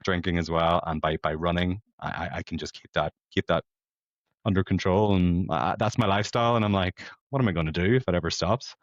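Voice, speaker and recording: A Northern Irish man in his late thirties talks in a low voice, chatty and self-deprecating. He rambles easily like a podcast guest. The sound is dry and a bit dull, lo-fi. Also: brisk, no echo. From Belfast, Northern Ireland. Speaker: podcast guest, amateur competitive runner. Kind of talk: casual conversational storytelling.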